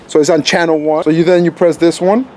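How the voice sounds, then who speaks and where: A man with a voice a touch higher than average talks like a casual video reviewer. He explains things in an easygoing way, often repeating himself as he shows how something works. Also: level tone, fast, a little mellow, slight background noise. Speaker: gadget reviewer. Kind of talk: casual hands-on review chat.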